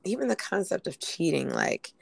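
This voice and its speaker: A woman co-hosting a casual podcast, hoarse from a recent illness, chatting with lots of "um"s and "you know"s. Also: fairly low pitch, very animated, normal speed, no room sound. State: hoarse from being sick. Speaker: podcast co-host.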